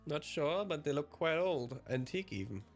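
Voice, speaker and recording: Brief: anxious, deferential young hero of a visual novel, voiced by a man, pitched up, music underneath. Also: animated, normal speed. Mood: puzzled, hesitant. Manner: hesitant, deferential. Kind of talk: visual novel dialogue read aloud. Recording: music behind the voice.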